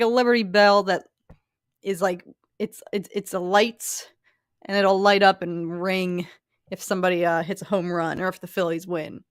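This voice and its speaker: A woman's voice: on the low side, dry recording, clean studio sound. A young woman from Philadelphia with a light Philly accent, chatting casually and giggling as she reads comments on a live stream. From Philadelphia, USA. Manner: chatty, giggly, lots of "like" and restarts.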